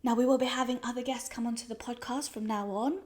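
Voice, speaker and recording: A woman's voice: fairly high pitch, fairly even intonation, fast. Woman podcast host with many ums. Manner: warm welcomes, hedging with kind of and um. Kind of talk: podcast intro and interview questions. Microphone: podcast studio mic.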